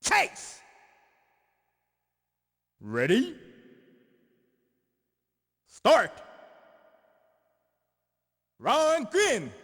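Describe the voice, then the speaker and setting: A man voicing an excitable, exclamatory fishing guide who announces and cheers the player on in an arcade video game. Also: very high voice, creaky, long reverb tail, full character voice. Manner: exclamatory, theatrical.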